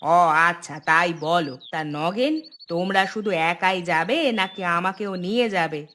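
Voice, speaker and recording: A woman voicing a sharp-tongued, easily offended landlord's wife in a cartoon, with a challenging manner. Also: fairly low pitch, a little slow. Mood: pointed. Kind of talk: cartoon dub. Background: music.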